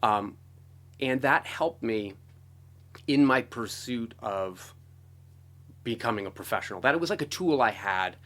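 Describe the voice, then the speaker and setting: A middle-aged American man telling his story casually as an interview guest, talkative and animated. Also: medium pitch, a little slow, pauses often. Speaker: interview guest, composer and guitarist.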